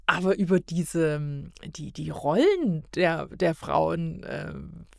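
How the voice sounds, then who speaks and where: A middle-aged woman chats as a podcast guest in a slightly low voice. She speaks in a searching, halting way, often pausing to find her words. The sound is very dry. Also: vocal fry, darkish tone, no room sound. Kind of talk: casual conversational discussion.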